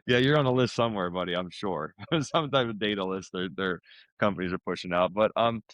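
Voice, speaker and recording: A man with a deep voice talks as a guest on a podcast. His delivery is casual and chatty, full of informal phrasing, and the sound is dry with very little room on it. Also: brisk, fairly bright tone, no room sound. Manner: chatty, informal, peppers talk with "man" and "you know". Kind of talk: casual conversational podcast talk.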